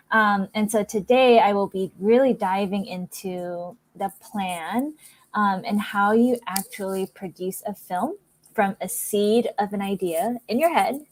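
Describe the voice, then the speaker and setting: A woman's voice: mid-range voice, low-quality recording. A woman from Singapore giving a filmmaking presentation over a video call, upbeat and sprinkled with ums. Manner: upbeat, many ums, talks through slides. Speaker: guest speaker, filmmaker. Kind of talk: presentation to online audience.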